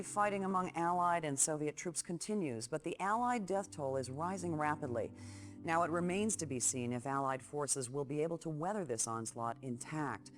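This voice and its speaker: A wartime news anchor played by an actor reads the latest bulletin in a formal, even delivery. The pitch is mid-range, and the read stays steady throughout this game's news segment. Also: natural voice. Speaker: newscaster. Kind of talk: scripted news bulletin in a game.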